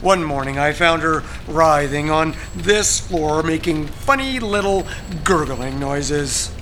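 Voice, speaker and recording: Sharp bright male voice, prissy evasive agent, formal, condescending, exasperated, audio drama, faint background noise. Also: on the high side, animated, takes it slowly, full character voice. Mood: grave, condescending.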